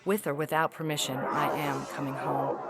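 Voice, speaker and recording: A woman voicing a haughty, weary feline alien ambassador in a science fiction audio story, speaking in a formal, measured, articulate way. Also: low voice, flat delivery, creaky, very noisy. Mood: weary disdain. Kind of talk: dramatic reading of an epistolary science fiction story.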